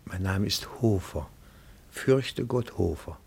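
An actor playing a timid, reverent elderly retired bookkeeper, pedantic and deferential, with a gravelly, breathy voice in a German radio play. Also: male voice, deep voice, expressive, darkish tone, exaggerated voice. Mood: deferential, earnest. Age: older. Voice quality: gravelly, husky, often breathy. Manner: deferential, pedantic, slow and careful.

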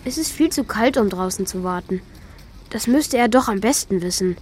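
A performer, heard as female, playing a curious, warm-hearted young child in a children's radio play. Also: mid-range voice, animated, normal speed, husky. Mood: pensive narrating. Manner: earnest, questioning, childlike.

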